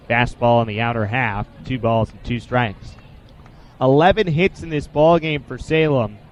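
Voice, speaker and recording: A young man works as a play-by-play sportscaster on a radio baseball broadcast. His voice sits at a medium pitch. He describes each pitch in a measured way and fills the gaps with statistics. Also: a little hiss or hum. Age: 20s.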